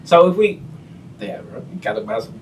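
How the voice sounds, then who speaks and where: A man's voice: medium pitch, very animated, normal speed, recorded from a distance, slight background noise. A young man hosting a casual interview podcast, talking in a laid-back way with lots of fillers. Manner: laid-back, frequent fillers like "you know what I'm saying". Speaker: podcast host.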